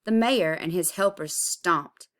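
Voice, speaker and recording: A woman reading a children's picture book aloud expressively, with deliberate, dramatic emphasis. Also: fairly low pitch, fairly bright tone. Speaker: storybook reader.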